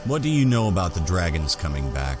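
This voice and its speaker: A man's voice: sits low, sing-song, a little fast, over music. A man doing roleplay commentary as he plays a video game, talking conversationally and in character. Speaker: gamer playing in character.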